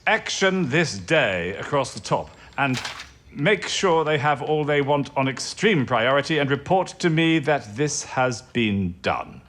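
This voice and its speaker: A man's voice: mid-range voice, expressive, fairly bright tone. An actor playing a stern, accusing government official in a wartime audio drama, officious and reproving, leaning on his words. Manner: officious, reproving, deliberate emphasis.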